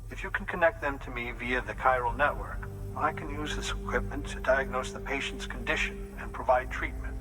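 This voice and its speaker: A man playing a calm, precise doctor. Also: mid-range voice, natural voice, poor audio. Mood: explaining. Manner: measured, explanatory, slightly fussy. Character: calm clinical male doctor, precise, reassuring. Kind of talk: video game cutscene acting. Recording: score music in the background.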